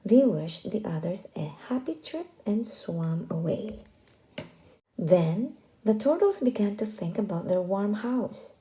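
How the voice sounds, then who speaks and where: A woman reading a children's story aloud as scripted narration, expressive and deliberate in delivery. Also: mid-range voice, low-quality recording.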